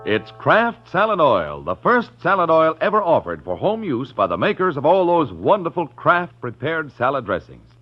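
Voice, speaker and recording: A man's voice: a little high, huge pitch swings, moderate pace, hardly any pauses, faint music behind. A man announcing an old-time radio commercial with an emphatic, salesmanlike delivery. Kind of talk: 1940s radio advertising pitch. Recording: dull vintage radio recording.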